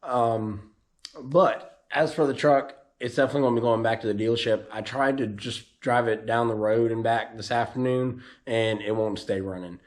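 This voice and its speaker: Hoarse man rambling through a vlog. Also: mid-range voice, normal speed. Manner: rambling, with frequent ums and restarts. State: losing his voice, hoarse from allergies. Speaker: drag racer and vlogger. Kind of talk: casual vlog update.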